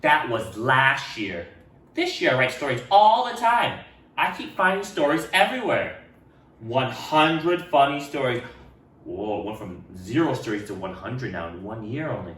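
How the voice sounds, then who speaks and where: A man reading a picture book aloud to children in an expressive manner, speaking to them directly. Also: medium pitch, normal speed. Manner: expressive, addresses children directly, acts out dialogue. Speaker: read-aloud storyteller for children. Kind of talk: animated picture-book read-aloud with asides. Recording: roomy echo, distant microphone.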